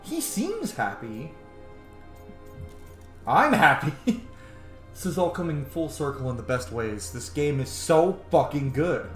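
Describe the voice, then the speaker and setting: A man giving casual commentary on a game playthrough, informal and sweary, thinking out loud as he goes. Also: on the high side, big pitch swings, slow, pauses often. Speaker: gaming streamer. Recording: roomy echo on voice. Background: faint game music.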